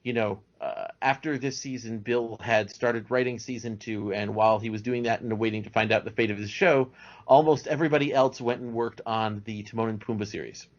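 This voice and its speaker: A man's voice: medium pitch, fairly even intonation, brisk. A man speaking as a podcast guest, explaining things in a casual, talkative way. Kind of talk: casual fan discussion. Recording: dull lo-fi audio.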